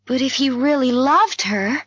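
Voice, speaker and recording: A woman's voice: sits high, animated, unhurried, fairly bright tone, husky, lo-fi sound, pitched above the performer's own voice, full character voice. A woman voicing a headstrong young female amateur sleuth who questions insistently in an audiobook mystery. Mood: shocked, questioning.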